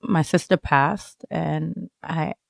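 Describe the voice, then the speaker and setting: Creaky-voiced woman from Jamaica, reflective interviewee. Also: low-pitched, steady tone, slow, no room sound, clean studio sound. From Jamaica, moved to America as a child. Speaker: student writer, interview guest.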